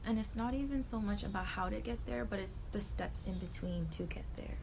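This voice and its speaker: A woman in her twenties, raspy-voiced, vlogging in a rambling, thoughtful way, dropping into whispery asides. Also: mid-range voice, brisk, noisy background. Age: 20s. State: raspy voice today. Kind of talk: reflective casual talk to camera.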